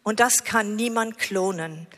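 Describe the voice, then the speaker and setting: Female conference preacher, forceful bright tone, enthusiastic delivery, long hall reverb. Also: mid-range voice, a little slow. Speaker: conference speaker. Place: large congress hall, live on stage. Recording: hall reverb from stage sound system.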